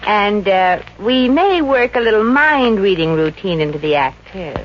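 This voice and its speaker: A woman's voice: mid-range voice, sing-song, a little slow, a little hiss or hum. A brassy, wisecracking showgirl. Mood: scheming. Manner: sassy, sharp-tongued quips. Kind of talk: 1950s radio comedy acting. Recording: dull old radio transcription.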